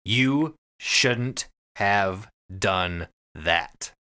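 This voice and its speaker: Animated, bright-voiced man recounting a story. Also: lowish voice, extremely slow, clear, resonant tone. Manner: profane, animated retelling. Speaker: podcast host. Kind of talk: casual storytelling recap with co-hosts.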